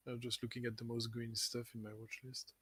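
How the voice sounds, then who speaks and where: A man's voice: medium pitch, monotone, fairly quick, pushed and bright, husky, no room sound. A man hosting a live trading chat, rambling and correcting himself as he talks through his positions. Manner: rambling, self-correcting, laughs often. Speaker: livestream host.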